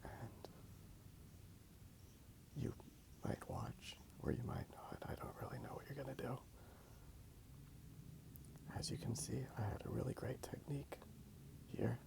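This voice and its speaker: Whispering man, slow and very creaky. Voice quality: breathy, whispery soft voice. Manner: unhurried, halting, low-key rambling. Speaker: host of a drawing ASMR channel. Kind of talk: ASMR soft-spoken rambling commentary.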